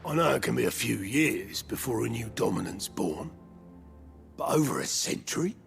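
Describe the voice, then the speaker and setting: An actor playing a gruff, practical middle-aged right-hand man in a video game, gravelly and blunt, with colloquial speech. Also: mid-range voice, lively intonation, moderate pace, faint music behind. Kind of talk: dramatic video game voice acting.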